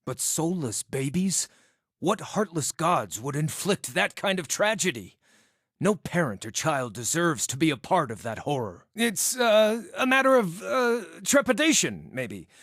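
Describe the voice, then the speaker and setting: An actor voicing a small, scarred outcast druid in a video game, wry, cheeky and theatrical, with a wordy way of talking. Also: male voice, a little high, fairly bright tone, dry recording, clean studio sound. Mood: earnest, then uneasy, rueful. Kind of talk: video game character dialogue.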